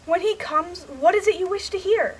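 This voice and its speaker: A woman's voice: very high voice, moderate pace. A young woman playing a pleading, protesting queen in an amateur puppet-show tragedy, with a recited delivery. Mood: urgent. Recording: noisy amateur recording.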